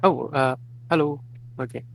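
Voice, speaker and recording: A man reading a fan story aloud in a plain, steady way with the odd slip. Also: medium pitch, normal speed, gravelly, a little hiss or hum. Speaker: fanfic reader.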